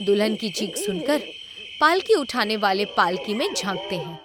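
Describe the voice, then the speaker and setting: A woman narrating a scripted animated horror story. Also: medium pitch, normal speed, crowd noise.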